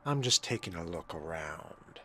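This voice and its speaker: A man doing in-character game commentary with a dry, wordy, sardonic manner, often dropping into a breathy murmur. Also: low-pitched, very animated, unhurried, sharp tone, a little hiss or hum. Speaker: role-playing let's player. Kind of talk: in-character game commentary, musing aloud and reading text. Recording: game music behind. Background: video game music.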